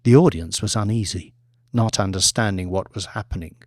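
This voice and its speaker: A man narrating dramatic fiction as an audiobook reader, in a measured, articulate storytelling manner. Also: on the low side, no room sound, clean studio sound.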